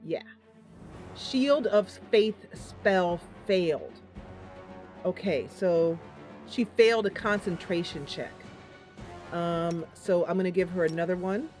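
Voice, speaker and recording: A woman's voice: lowish voice, slow, long pauses, a little mellow, faint music behind. A woman hosting a casual let's-play, thinking aloud through the game with frequent ums and talking directly to her viewers. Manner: playful, addresses viewers directly. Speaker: gaming channel host.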